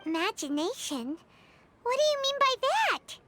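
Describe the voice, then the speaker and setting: Very high squeaky childlike fairy sidekick. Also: female voice, big pitch swings, measured pace, fairly bright tone, full character voice. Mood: puzzled. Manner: exclamatory, squeaky childlike delivery. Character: small excitable childlike fairy companion, earnest and talkative. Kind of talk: English video game dub acting.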